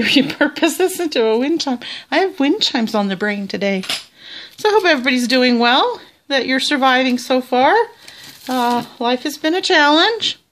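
Slow, chatty Southern Ontario woman. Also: sits high, fairly bright tone. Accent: Southern Ontario Canadian. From Canada. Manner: chatty, rambling, many fillers and restarts. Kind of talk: casual show-and-tell narration. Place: small indoor tabletop setup.

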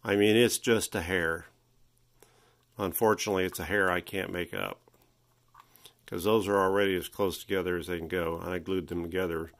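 A man with a low-pitched voice walks through a hands-on restoration job. He speaks casually and in a folksy way, pausing often as he thinks aloud. He is indoors in a workshop, picked up by a handheld device. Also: fairly even intonation, fairly bright tone. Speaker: hobbyist electronics restorer presenting his repair.

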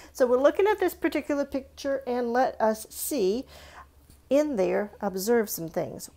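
Creaky-voiced woman teaching children. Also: medium pitch, a little mellow. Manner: instructive, addresses young viewers directly with questions. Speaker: art reader and presenter for children. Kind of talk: read-aloud art lesson for children, with chatty asides and questions. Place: indoors at a stone countertop with a book.

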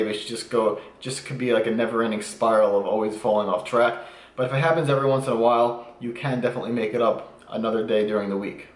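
A young man in his twenties talks casually and cheerfully to his camera, explaining his eating habits as he goes. His voice sits in the middle of the male range. The small home room adds a roomy echo. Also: medium pitch. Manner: upbeat, explains nutrition while eating. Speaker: fitness vlogger. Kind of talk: casual food vlog.

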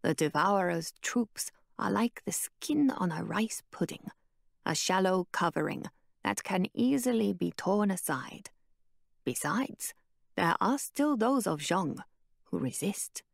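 A woman voicing a calm, cryptic old woman who speaks in a low, hushed, measured way. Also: vocal fry, dry recording, studio quality, heavily acted.

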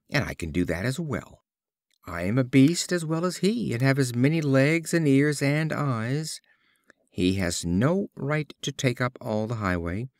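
A man's voice: mid-range voice, a little mellow, dry recording, studio quality. A man voicing a boastful, indignant rat in a storybook fable, declaiming haughtily. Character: boastful, indignant male rat scorning an elephant.

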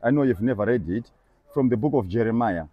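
A man's voice: medium pitch, lively intonation, brisk, phone-quality sound. Earnest young male street preacher outdoors. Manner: earnest, persuasive, repeats verses back line by line. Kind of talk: street evangelism conversation. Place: busy outdoor shopping street.

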